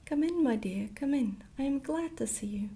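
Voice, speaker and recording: A woman voicing a very old, kindly, gently chiding lady in a soft, whispered ASMR storybook reading. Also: high-pitched, expressive, normal speed. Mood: welcoming. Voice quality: sweet, soft.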